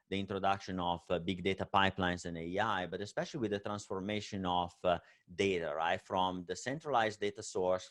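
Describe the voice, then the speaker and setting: A low-pitched man with a clear Italian accent presents at a virtual tech conference. He speaks in stop-start phrases, filling pauses with "uh" and closing points with a questioning "right?". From Italy. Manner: many fillers and hesitations, frequent "right?" tag.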